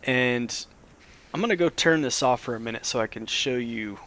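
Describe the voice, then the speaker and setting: A man, a little hoarse after a cold, casually explaining a modded game as he plays, with plenty of ums and restarts. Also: medium pitch, normal speed. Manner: relaxed, rambling, lots of fillers. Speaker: Minecraft let's play host.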